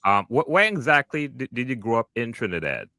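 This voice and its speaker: A man's voice: medium pitch, very animated, fairly quick, sharp tone, no room sound, studio quality. A man hosting a podcast interview, chatting casually and enthusiastically, often repeating himself. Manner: enthusiastic, repeats words like "wow" and "okay". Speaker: podcast host.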